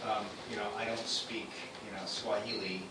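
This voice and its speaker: A man's voice: lowish voice, little pitch movement, moderate pace. Distant, echoey man asking questions. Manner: questioning, thinks aloud. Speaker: class participant. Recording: distant echoey room mic, loud hiss.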